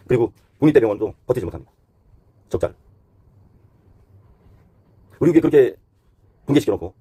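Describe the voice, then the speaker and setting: A man delivers current-affairs commentary at a quick pace, earnest and critical as he poses rhetorical questions. His voice is dark and somewhat raspy, and the recording is close and dry. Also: mid-range voice, no echo. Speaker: host and commentator. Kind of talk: current-affairs opinion monologue.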